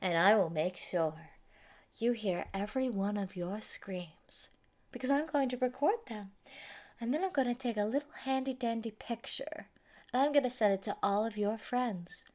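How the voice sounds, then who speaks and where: A woman's voice: fairly high pitch, moderate pace, a little mellow, close to an everyday voice. A woman voicing a sadistic, gleeful female mad scientist who taunts and gloats over a captive in a theatrical roleplay monologue. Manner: taunting, gloating, giggly, swinging into whispers. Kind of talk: theatrical villain monologue, fan roleplay audio.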